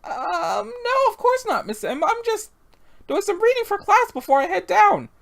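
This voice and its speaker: Amateur performer as sweet young female student, very high, hesitant, polite. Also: sing-song, normal speed, vocal fry, full character voice. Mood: flustered.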